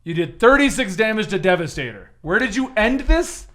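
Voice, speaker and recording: High-voiced man running a tabletop game. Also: very animated, brisk, pushed and bright. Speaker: game master. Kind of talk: casual tabletop game narration.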